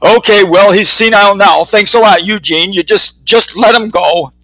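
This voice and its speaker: A man's voice: high-pitched, normal speed, bright, forceful tone, no room sound, pitched above the performer's own voice, low-quality recording. A man voicing a patient but exasperated male fly minding an old one. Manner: soothing, exasperated.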